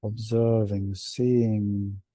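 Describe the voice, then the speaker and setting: Slow, very dark-voiced man guiding meditation. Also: on the low side, flat delivery, no echo. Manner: hushed, unhurried, with long pauses. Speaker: meditation guide. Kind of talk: guided meditation.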